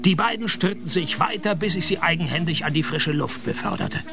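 A man playing a sardonic private detective in a radio play, narrating and speaking with dry, deadpan sarcasm. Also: fairly high pitch, fairly quick, creaky, music in the background. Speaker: narrator and lead actor.

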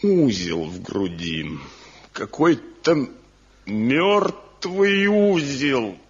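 Slow, husky, tormented craftsman. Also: medium pitch, very animated, exaggerated voice. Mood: anguished. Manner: anguished, slow, rhetorical, heavy with bitterness. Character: tormented brooding master craftsman in despair, adult son. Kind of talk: Soviet radio drama acting. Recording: dull lo-fi archival 1970 radio recording.

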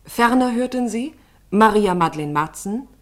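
A woman announcer reading the radio play's credits in a formal, measured way. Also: medium pitch, animated.

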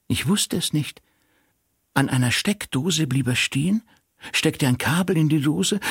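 Guy reading a story for radio, lively swoopy pitch, kinda husky. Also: no echo, clean studio sound. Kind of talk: scripted literary narration, radio play.

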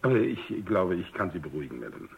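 A man's voice: deep voice, very animated, vocal fry, heavily acted. A man playing a courteous general who explains things formally and carefully in a German radio drama, on a dull lo-fi recording. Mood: reassuring, formal. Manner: formal, polite, measured. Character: courteous male general commanding a research base, explaining himself.

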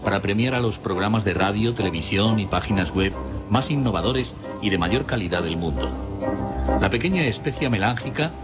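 Low-voiced Spanish announcer over loud music. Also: male voice, animated, moderate pace. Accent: Spain. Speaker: narrator, announcer. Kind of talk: scripted narration, dramatic promo.

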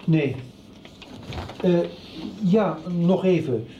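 A man's voice: a little high, drawn-out words. A man playing a curt, controlling company boss in a radio soap, giving commands. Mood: curt, businesslike. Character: curt, controlling male boss of a family firm. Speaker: actor in a radio serial. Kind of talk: 1990s Dutch radio soap acting. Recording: roomy, distant, dull, faintly noisy sound.

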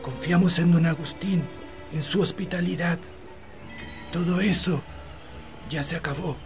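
Tired chilean farmer dad, creaky voice, gentle and resigned, radio drama with music behind. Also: male voice, a little high, fairly even intonation, a little slow. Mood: weary, resigned. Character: weary, resigned peasant father, dismissed from hacienda. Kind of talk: Spanish-language radio drama acting.

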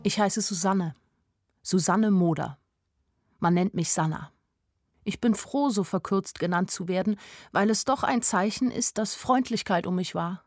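A woman's voice: mid-range voice, measured pace, dry recording, clean studio sound, natural voice. An actress playing a weary, frank young woman who narrates her life in a radio play. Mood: wry, intimate.

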